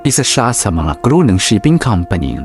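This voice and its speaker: A man with a Filipino accent reading a story as dramatic, measured scripted narration. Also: on the low side, sing-song, music in the background.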